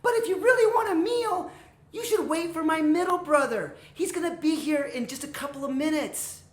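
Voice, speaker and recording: Woman as a shrill, panicky little billy goat. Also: normal speed, reverberant. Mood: nervous pleading. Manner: frantic, repetitive stammering. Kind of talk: animated comic folktale storytelling.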